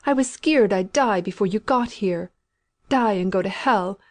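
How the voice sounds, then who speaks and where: A woman reading a storybook, voicing a frantic, terrified dying woman of about sixty who pleads in rough country speech. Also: medium pitch, a little slow, lightly acted. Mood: terrified pleading.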